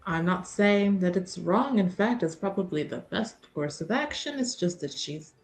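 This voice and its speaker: A woman playing a tabletop roleplaying game, talking casually in a deliberate, wry way with pauses. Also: lowish voice, expressive, soft background music.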